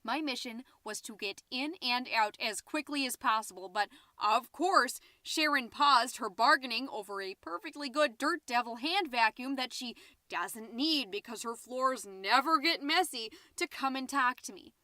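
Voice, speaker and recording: A woman's voice: fairly high pitch, animated, normal speed, sharp tone, no room sound, clean studio sound. A woman playing a gossipy, petty, easily flustered middle-aged American suburban mom, chattily hosting her podcast in a scripted comedic monologue. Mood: petty, indignant. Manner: chatty, indignant, with muttered asides. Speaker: podcast host.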